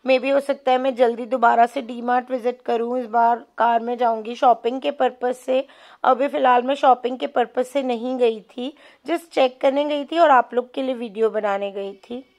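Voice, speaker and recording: A woman's voice: a little high, fairly even intonation, normal speed, darkish tone. A woman giving a casual, chatty vlog voice-over, mixing in English words. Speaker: shopping vlogger.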